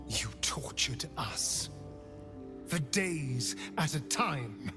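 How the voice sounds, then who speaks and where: An actor playing a bitter, sardonic vampire spawn in a video game, with a theatrical, biting delivery. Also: male voice, mid-range voice, lively intonation, drawn-out words. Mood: bitter anger. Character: sardonic, bitter male vampire spawn, two centuries old. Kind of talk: video game character acting. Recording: game music under the voice.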